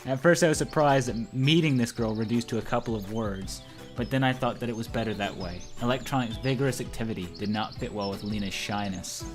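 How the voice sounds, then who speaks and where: A man's voice: mid-range voice, a little fast. A young man reading visual novel narration aloud and joking with friends as he goes. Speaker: narrator in a group let's play. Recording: music behind the voice.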